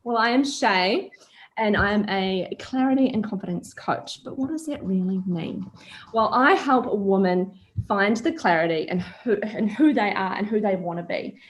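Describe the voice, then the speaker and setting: A woman's voice: mid-range voice, moderate pace. A young woman with a New Zealand accent, a confidence coach chatting as a guest over a video call and telling stories with plenty of ums. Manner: chatty storytelling, frequent ums. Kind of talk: casual video call interview.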